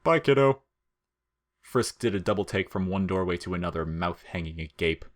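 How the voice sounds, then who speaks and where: A man with a low-pitched voice narrates a piece of fiction. He reads from a script in the manner of an audiobook storyteller. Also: fairly even intonation, brisk.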